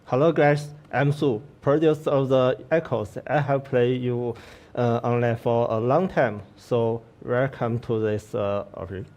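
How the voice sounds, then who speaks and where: Halting male producer with Chinese accent. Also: mid-range voice, fairly even intonation, darkish tone. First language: Mandarin Chinese. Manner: halting, limited English. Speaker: game producer, guest.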